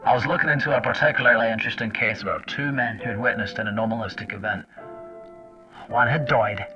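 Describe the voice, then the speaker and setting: A man's voice: medium pitch, pushed and bright, distant mic, natural voice. A man playing a wry, foul-mouthed intelligence agent, telling his story in a sardonic, conversational way in an audio drama. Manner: sardonic, conversational, addresses the listener directly. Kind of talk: first-person audio drama narration and dialogue. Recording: dull lo-fi old-radio sound under music.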